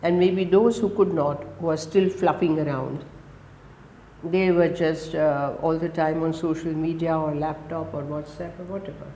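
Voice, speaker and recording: A woman in her seventies, a guest from India who speaks Gujarati as her first language. She talks in English with an Indian accent and gives emphatic answers in quick lists. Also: deep voice, fairly even intonation, dark tone, slight background noise. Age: 70s. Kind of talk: conversational interview. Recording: roomy echo.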